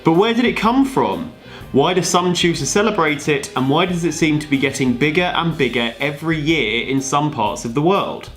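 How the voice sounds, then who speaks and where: A man's voice: fairly high pitch, expressive, normal speed, fairly bright tone, soft background music. A man co-presenting a scripted explainer with banter, playing it dry as the straight man. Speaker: video co-presenter.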